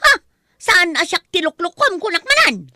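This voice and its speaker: A woman playing a jealous, suspicious girlfriend who scolds with sharp, demanding questions in an Ilocano comedy audio drama. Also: very high-pitched, big pitch swings, normal speed, dry recording, clean studio sound, heavily acted. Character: jealous, nagging, suspicious girlfriend, quick to accuse.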